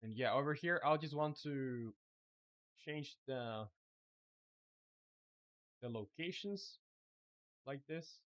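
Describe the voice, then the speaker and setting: A man's voice: mid-range voice, slow, long pauses. Spanish-native man live-streaming game dev. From Chile. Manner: relaxed, thinking aloud with many fillers. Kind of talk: casual live-stream commentary while working.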